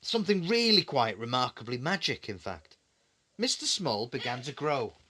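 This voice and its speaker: A man's voice: medium pitch, big pitch swings, moderate pace, noisy background. A man reading a bedtime story to his young child in a conversational way, with questioning asides and dramatic repetition.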